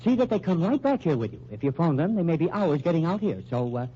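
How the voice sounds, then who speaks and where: A man's voice: on the high side, sing-song, fast, dark tone, slight background noise. An actor playing a timid, fussy, formal married man who speaks hesitantly in an old radio drama. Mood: meek, earnest. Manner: hesitant, fussy, formal, spells out his name. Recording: muffled, humming old radio recording.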